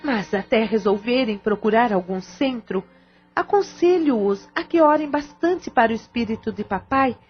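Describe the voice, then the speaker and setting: A woman playing an earnest, caring sister-in-law who gives reassuring, measured counsel in an audio drama. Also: fairly high pitch, slightly rough. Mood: earnest, advising. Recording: muffled lo-fi audio with music.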